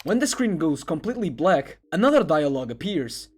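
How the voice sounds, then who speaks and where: A man's voice: on the high side, sing-song, fairly quick, husky. A man reading scripted narration in the style of an internet video essay. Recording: music under the voice. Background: background music.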